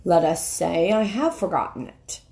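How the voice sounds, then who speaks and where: A woman voicing a majestic male lion ruler in a storybook, grave and measured with quiet authority. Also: lowish voice, animated, gravelly.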